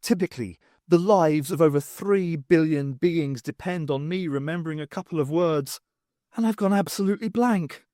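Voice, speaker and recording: A man's voice: a little high, normal speed, mellow, no echo, clean studio sound, natural voice. A man voicing a plucky, wry, exasperated woman adventurer in an audiobook, urgent, rambling and breathless. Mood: flustered. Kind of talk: audiobook character voice.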